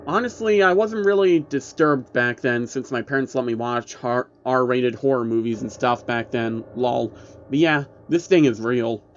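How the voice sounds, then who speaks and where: A man's voice: medium pitch, unhurried, lo-fi sound, a little hiss or hum, natural voice. Man reading as an offhand male internet commenter. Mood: offhand recollection. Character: casual male internet commenter recalling childhood.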